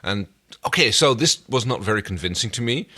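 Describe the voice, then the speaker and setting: A man with a fairly low voice speaks as a podcast co-host. His style is casual, conversational television recap. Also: animated, a little fast, bright, forceful tone.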